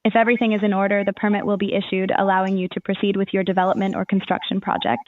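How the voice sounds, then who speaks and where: Fast phone-like female AI assistant. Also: medium pitch, flat delivery. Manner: formal, measured customer-service phrasing. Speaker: AI voice assistant for a town's services. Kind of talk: scripted, formal informational answers.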